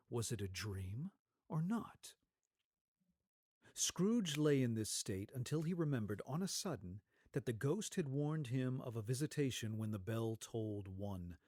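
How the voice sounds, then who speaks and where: A man narrating a story as a scripted dramatic reading, with deliberate, theatrical phrasing. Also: medium pitch, a little mellow, dry recording, studio quality.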